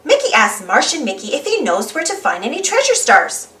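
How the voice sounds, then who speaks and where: A woman narrating a children's storybook in an animated read-aloud style. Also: sits high, brisk, far from the mic. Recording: roomy, voice away from microphone.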